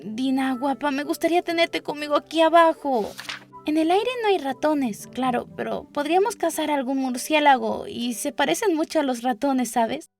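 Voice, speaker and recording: A woman's voice: sits high, normal speed, faint music behind, voice pushed up. A woman voicing a curious, dreamy young girl who chatters and muses to herself in a storybook. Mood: wistful. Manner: musing aloud, questioning, wordy. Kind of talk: storybook character voice.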